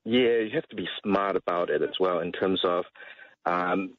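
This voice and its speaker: He is a middle-aged man, chatting casually on talk radio. His voice sits very low and has a rough, husky grain. He feels his way through sentences hesitantly, and the audio is somewhat dull. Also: moderate pace, no echo. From Malaysia. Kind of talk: casual chat.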